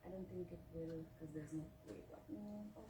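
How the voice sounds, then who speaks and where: A woman's voice: fairly low pitch, moderate pace. A woman giving a casual, chatty presentation at a welcome event, with fillers and asides. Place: office meeting room. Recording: echoey room, mic far off, background noise.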